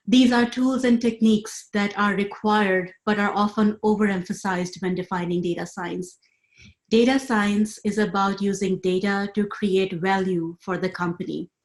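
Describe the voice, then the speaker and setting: A woman in her thirties, originally from Pakistan, giving a webinar talk over a computer mic. Her delivery is clear and measured, with a lecturing pace and frequent "um"s. The room around her is noticeably echoey. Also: medium pitch, darkish tone. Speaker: webinar speaker.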